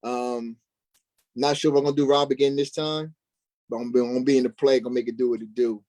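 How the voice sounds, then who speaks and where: A man's voice: mid-range voice, flat delivery, quick pace, darkish tone. A man speaking as a stage actor in an interview, answering casually in a folksy, informal way.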